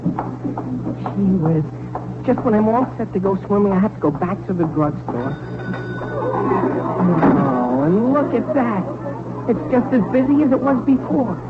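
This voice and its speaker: A man's voice: high voice, expressive, measured pace, pauses often, over loud music. An actor playing a cheeky, guileless teenage boy in an exclamatory, complaining 1940s radio comedy style. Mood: impatient grumbling. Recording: noisy, tinny 1940s radio broadcast.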